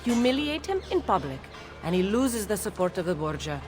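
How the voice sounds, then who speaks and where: A woman's voice: medium pitch, sing-song, brisk, over music, natural voice. A woman playing a composed, shrewd head of courtesans in a video game, with an Italian accent. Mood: calculating. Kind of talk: dramatic video game cutscene acting.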